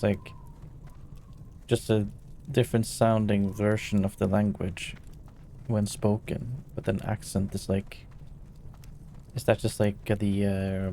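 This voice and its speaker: A man's voice: steady tone, unhurried, dark tone, a little hiss or hum. A tired Norwegian man streaming a game, chatting casually and hesitantly with his viewers. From Norway. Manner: tired, hesitant, low-key. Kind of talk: casual chat while gaming.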